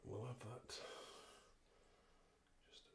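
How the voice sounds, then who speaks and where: A man giving a casual live craft demonstration, talking informally and thinking aloud as he works. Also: very slow. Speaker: card making demonstrator. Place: indoor craft room. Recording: roomy echo, clear background noise, clicks.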